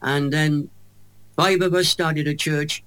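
Animated Welsh older man. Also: fairly high pitch, slow, clear, resonant tone, rough recording. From Wales. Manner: emphatic, animated storyteller with humour. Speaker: evangelist guest. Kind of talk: radio interview storytelling.

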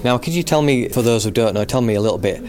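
A man's voice: mid-range voice, lively intonation, fast talker, a little mellow. A man from Leeds, presenting on community radio and asking questions hesitantly, with many fillers and restarts. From Leeds, England. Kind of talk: radio interview.

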